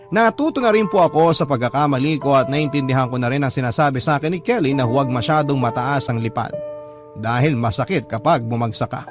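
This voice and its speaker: A man with a Filipino accent reading a scripted first-person story as a radio drama narrator. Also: medium pitch. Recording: music bed behind narration.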